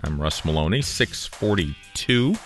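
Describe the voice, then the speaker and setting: A man hosting a local morning radio show, reading scores, time checks and the weather in relaxed station patter. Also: low voice, a little slow, soft background music.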